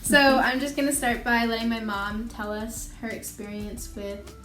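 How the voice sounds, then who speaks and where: A woman's voice: on the high side, little pitch movement, moderate pace, fairly bright tone. A young woman hosting a casual vlog, chatty and bubbly, talking at home. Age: young adult. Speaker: host. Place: home room. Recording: echoey room with faint music.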